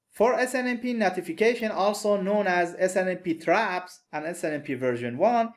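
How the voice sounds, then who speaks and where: A man with a non-native English accent delivers a recorded technical lecture. His voice sits somewhat high for a man. He talks the listener through slides in a plain, methodical way. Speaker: networking instructor.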